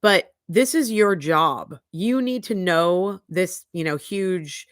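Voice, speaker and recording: A woman's voice: lowish voice, takes it slowly, dry recording, studio quality. A woman with a stuffy, sniffly nose hosting a casual livestream recap, chatty and bluntly opinionated, with lots of fillers. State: congested, sniffly. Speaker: livestream host. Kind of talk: casual chat, trial recap. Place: home livestream.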